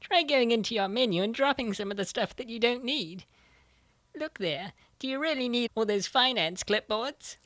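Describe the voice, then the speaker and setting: A woman voicing a sensible, patient young female gamer in a comic dub, reasoning in a measured, coaxing way. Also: a little high, animated, a bit bright, dry recording. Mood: patient, insistent.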